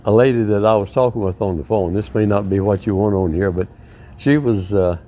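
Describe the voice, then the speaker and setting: A man's voice: on the low side, a little fast, dark tone, slight background noise. A man in his nineties, a war veteran being interviewed, telling folksy stories with a chuckle. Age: 90s. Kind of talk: interview conversation.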